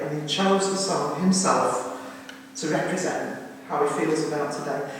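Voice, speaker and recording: A middle-aged woman gives an informal, affectionate family eulogy in a chatty, anecdotal way. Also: low-pitched. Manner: chatty, affectionate, turning to relatives for confirmation. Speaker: family member giving a funeral eulogy. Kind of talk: informal spoken tribute with anecdotes. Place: crematorium chapel. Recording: very echoey hall, distant mic, faint noise.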